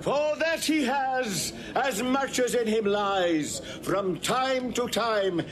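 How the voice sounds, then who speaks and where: An actor playing a stern, accusing Roman tribune, proclaiming deliberately in classical Shakespearean drama. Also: male voice, very high-pitched, expressive, exaggerated voice. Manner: declamatory, deliberate, public proclamation. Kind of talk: classical Shakespearean stage acting. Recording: noisy old recording with crowd.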